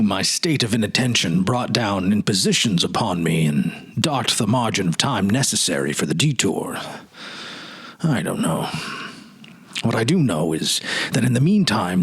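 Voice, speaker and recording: A man voicing a wistful middle-aged gentleman who hesitantly muses over a childhood memory in a dramatic story reading. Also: on the low side, husky, exaggerated voice. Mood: wistful, musing. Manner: hesitant, musing, reminiscing.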